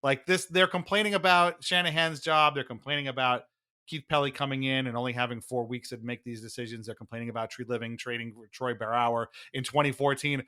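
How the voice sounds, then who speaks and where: A man co-hosting a sports podcast in casual chat, animated and sardonic, mockingly acting out what others say. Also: mid-range voice, fairly quick, smooth. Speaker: podcast co-host.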